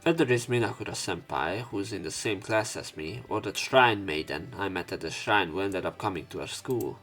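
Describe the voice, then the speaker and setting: Low-voiced man narrating a visual novel. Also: normal speed. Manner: sweary reactions, expressive dialogue reading. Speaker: let's player. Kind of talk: reading visual novel aloud with casual commentary. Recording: game music behind voice. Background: game music.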